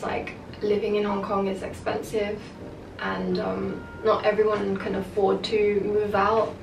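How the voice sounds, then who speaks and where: A woman's voice: mid-range voice, vocal fry. A young woman, raised in the UK, chatting candidly to camera with lots of filler words. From half Japanese, raised in the UK. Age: young adult. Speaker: vlogger. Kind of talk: casual chat to camera. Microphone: far camera mic. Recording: echoey room, distant mic, noisy.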